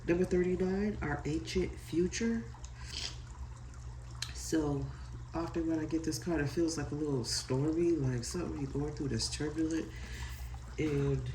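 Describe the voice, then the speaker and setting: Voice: mid-range voice, distant mic, background noise. A tarot card reader with a stuffy nose from a head cold, chatting loosely and apologetically and reading aloud from a guidebook. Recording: echoey room, set back from the mic.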